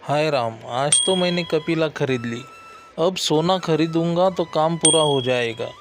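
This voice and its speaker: A man's voice: fairly high pitch, unhurried, noisy background, lightly acted. A man playing a trusting, fretful middle-aged village father in a cartoon story, exclaiming and thinking aloud. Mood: satisfied. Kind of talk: Hindi cartoon moral-story acting. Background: background music.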